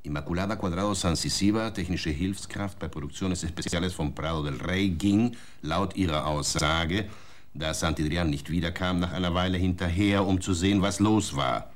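A stern, stubborn police inspector, played by a man, with a deep voice. He interrogates in curt, commanding phrases in a radio drama. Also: moderate pace, lightly acted. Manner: curt, commanding, pressing questions.

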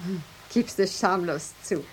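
An actress in a German radio drama playing a haughty, jealous lighthouse mistress, speaking in an imperious, formal and mocking way. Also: female voice, lowish voice, a little slow, slight background noise, natural voice, rough recording. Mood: scornful, mocking.

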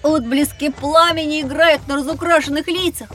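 A woman playing a curious young boy in a children's educational audio drama, with wondering, expressive storytelling. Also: high voice, normal speed, soft background music. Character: curious young boy on a time-travel adventure.

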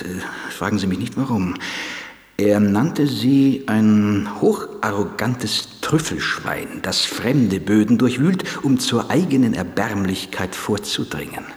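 Low, drily sarcastic lawyer. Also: male voice. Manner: matter-of-fact, hesitant openings, dry sarcasm. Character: dogged, underestimated male public defense lawyer, drily sarcastic. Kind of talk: radio drama dialogue. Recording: echoey room with long reverb.

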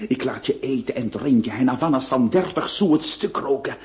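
An actor playing a scheming notary who swings between syrupy coaxing and gleeful threats. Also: male voice, mid-range voice, expressive, brisk, a little mellow, lo-fi sound, natural voice. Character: scheming middle-aged male notary, wheedling yet menacing. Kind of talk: radio drama acting.

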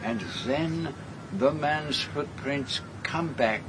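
A shrewd, courteous detective speaks with a French accent in a radio mystery. He is formal and deliberate, choosing each word with precision. Faint noise sits behind him. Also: on the high side. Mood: measured, observant. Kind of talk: radio drama acting.

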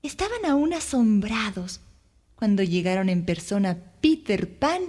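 A woman narrating a scripted children's story with expressive, careful phrasing. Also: mid-range voice, a little slow.

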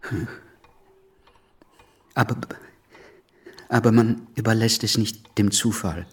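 Slow, stuttering, weary revolutionary. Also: male voice, fairly low pitch, slightly rough, full character voice. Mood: quietly insistent. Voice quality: stutter on initial consonants. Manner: calm, tired, hesitant yet insistent. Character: weary, stuttering male revolutionary pressing for action. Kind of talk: naturalistic radio drama acting.

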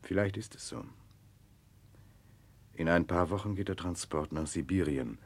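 An actor playing an earnest, remorseful Russian nobleman in a radio drama, speaking in a grave, reflective, husky voice. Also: deep voice, unhurried. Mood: earnest, brooding. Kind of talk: German radio play acting, part dialogue, part letter narration.

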